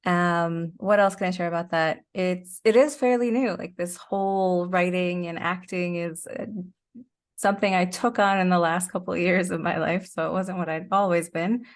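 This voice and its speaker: A woman's voice: on the low side, steady tone, normal speed. A woman talking casually as an interview guest, chatty and informal, wandering off into side stories. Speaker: interview guest, actor and podcaster.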